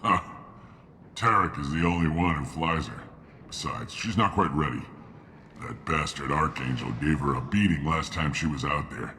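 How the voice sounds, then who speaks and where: Very deep gruff mercenary sergeant. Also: male voice, normal speed, sharp tone, full character voice. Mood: matter-of-fact. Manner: curt, dismissive. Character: gruff male mercenary sergeant, cynical gunship mechanic. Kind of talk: video game dialogue. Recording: clear background noise.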